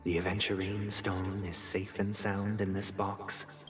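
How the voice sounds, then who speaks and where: A low, smooth powerful patriarch in a game drama, formal and fastidious, with quiet menace. He questions in measured, commanding phrases over clear music, with a long reverberant tail on his voice. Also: completely flat delivery. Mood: interrogating. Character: composed, menacing, fastidious male head of a powerful family. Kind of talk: dramatic video game voice acting.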